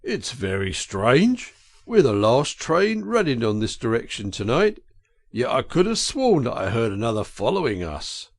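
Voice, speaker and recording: A burly, good-natured engine driver , acted by a male narrator in a storybook. He is a working man, blunt and folksy, with a gravelly voice and a lively rise and fall. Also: mid-range voice, unhurried, dry recording, clean studio sound. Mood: puzzled. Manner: blunt, folksy, matter-of-fact. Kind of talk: audiobook character voice.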